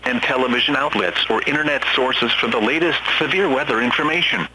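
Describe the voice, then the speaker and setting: An impersonal emergency weather radio announcer, played by a man, reads out a formal scripted alert. The voice sits at a middle pitch under clear radio noise. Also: brisk, lightly acted. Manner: formal, impersonal listing and warning.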